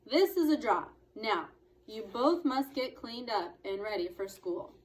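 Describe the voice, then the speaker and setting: A woman's voice: on the high side, expressive, a little slow, rough recording. A woman reading a storybook aloud plays a young boy laying down the law. The voice is raised a little and firm, with a commanding, dad-like authority. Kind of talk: children's storybook read-aloud.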